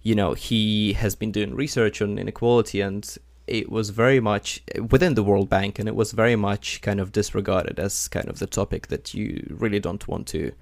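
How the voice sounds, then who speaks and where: Young gravelly-voiced male podcast host. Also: lowish voice, steady tone, moderate pace, hardly any pauses, no room sound, clean studio sound. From Ukraine. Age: young adult. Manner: curious, chatty, with many fillers. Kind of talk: conversational interview.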